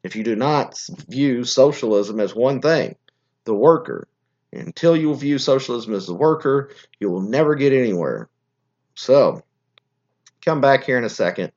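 A hoarse, scratchy-voiced man hosts a political podcast, holding forth in a rambling, opinionated monologue that keeps stopping and restarting. His pitch sits at an ordinary middle level. The recording sounds slightly muffled and lo-fi. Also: animated, normal speed, darkish tone. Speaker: podcast host.

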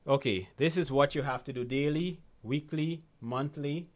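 A man's voice: fairly high pitch, moderate pace. A Guyanese man speaking as an interview guest and coach, explaining in a conversational, motivational way and repeating key phrases for emphasis.

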